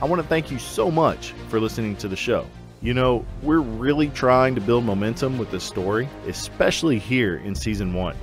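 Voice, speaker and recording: A man speaks directly to listeners as the maker of a show. His medium-pitched voice sits over clearly audible music. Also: moderate pace. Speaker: show creator. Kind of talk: scripted outro addressing listeners.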